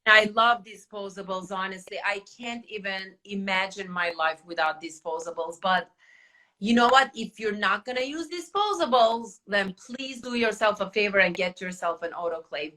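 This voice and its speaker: Woman host with a Turkish accent, animated and quick to laugh, bright tone. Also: mid-range voice. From Turkey. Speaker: academy owner and podcast host. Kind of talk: casual podcast chat.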